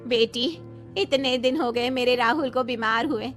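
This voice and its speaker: A bitter grieving aunt. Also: female voice, on the high side, steady tone, a little slow, fairly bright tone, faint music behind. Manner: bitter, wounded.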